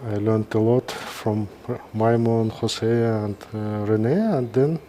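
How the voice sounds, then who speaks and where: A middle-aged man with a Kazakh accent gives informal live commentary as a surgeon, halting and pausing mid-sentence. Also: lowish voice, mellow, slight background noise. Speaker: neurosurgeon presenting a live case.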